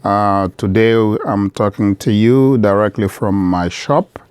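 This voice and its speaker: A middle-aged man from the Democratic Republic of Congo, speaking straight to camera from his shop in an earnest, measured appeal that repeats key phrases. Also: on the low side, a little mellow, smooth, dry recording. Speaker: vlogger, business owner.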